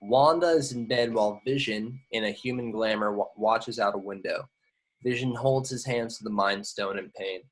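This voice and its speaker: A man's voice: lowish voice, moderate pace, poor audio. A man reading screenplay action lines at a table read, matter-of-fact with the odd stumble. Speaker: stage-direction reader.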